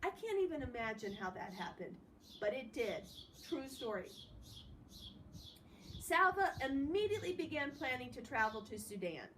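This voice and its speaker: A woman's voice: high voice, sing-song, moderate pace, fairly bright tone. A woman reading a story aloud expressively, in the manner of a read-along with informal commentary. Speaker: storybook reader. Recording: distant mic with faint background noise.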